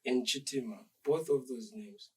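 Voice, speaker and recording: A young man from Zambia, a dancer being interviewed, speaking in a measured, reflective and polite way. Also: mid-range voice, lively intonation, a little mellow, far from the mic, a little hiss or hum. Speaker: dancer guest. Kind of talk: interview conversation.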